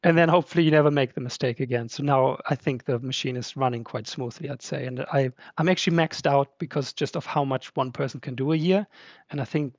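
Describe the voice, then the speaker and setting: A man's voice: mid-range voice, fast talker, no room sound, lo-fi sound. A podcast guest who is a man, English not his first language, talking casually and chattily with many fillers. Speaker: podcast guest, translator and publisher. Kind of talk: casual conversation.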